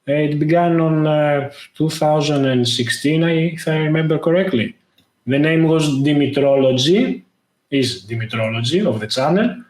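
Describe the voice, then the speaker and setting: Man, halting, in a roomy echo. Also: on the high side, a little mellow. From Greece. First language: Greek. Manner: halting, lots of "uh" fillers. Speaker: guest, tech YouTuber. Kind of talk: casual interview talk.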